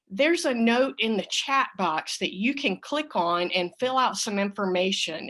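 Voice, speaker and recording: A woman speaks as a minister opening an online church service. Her voice sits at a medium pitch. She phrases her greetings deliberately and welcomingly, speaking to her congregation over a video call. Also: a bit bright.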